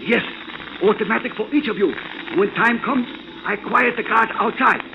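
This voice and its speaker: Muffled, noisy vintage radio drama. a colonel with a strikingly high, lively voice. He is clipped, secretive and urgent. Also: moderate pace, gravelly. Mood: urgent, conspiratorial. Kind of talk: 1940s radio drama acting.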